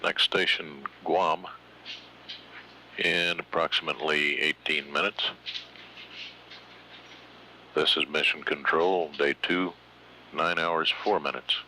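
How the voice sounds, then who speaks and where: A man giving formal mission control status announcements in measured, halting phrases. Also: very deep voice, steady tone, sharp tone, a little hiss or hum.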